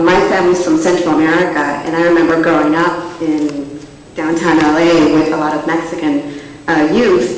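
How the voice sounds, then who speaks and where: A woman's voice: sits low, a little hiss or hum. A woman in the audience making an earnest, appreciative comment. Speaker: audience member. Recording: distant echoey hall mic.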